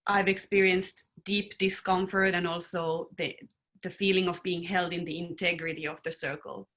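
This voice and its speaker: A woman raised in Finland, speaking as a panelist in a thoughtful, earnest and measured way. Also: on the low side, level tone, a bit bright. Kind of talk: reflective panel talk.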